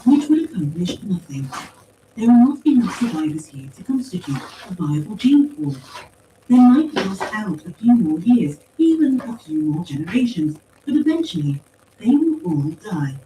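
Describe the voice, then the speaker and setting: A woman voicing a calm, formal machine intelligence in an audiobook story, with precise, measured phrasing. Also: medium pitch, pitched above the performer's own voice, natural voice. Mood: coolly reasoning. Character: calm, coolly logical machine mind speaking through empty suits. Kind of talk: acted audiobook dialogue. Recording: roomy, distant pickup with faint noise.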